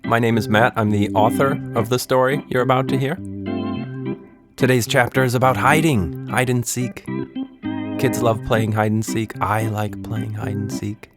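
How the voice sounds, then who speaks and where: A man's voice: mid-range voice, steady tone, moderate pace, over music. A man hosting and telling stories for children, talking to them directly in a playful, conspiratorial way. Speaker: host, author and storyteller. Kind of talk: children's storytelling podcast.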